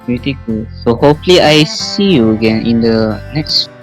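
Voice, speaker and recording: A man hosting a casual podcast chat, talking in a halting, rambling way. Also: medium pitch, animated. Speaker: podcast host. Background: plucked guitar music.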